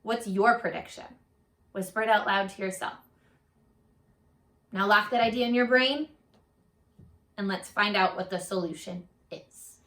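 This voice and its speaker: A woman reading aloud to children as a teacher, expressive and addressing them directly with prompting questions. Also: medium pitch, a little slow, pauses often, reverberant.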